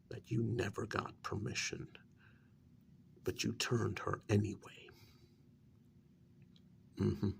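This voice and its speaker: A man acts out a male vampire official in an intimate ASMR role-play, patient yet exasperated. His soft, breathy voice crackles with very heavy fry and swings widely in pitch. He coaxes haltingly, often close to a whisper, over faint background noise. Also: full character voice. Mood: exasperated, reproachful. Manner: halting, coaxing, many pauses.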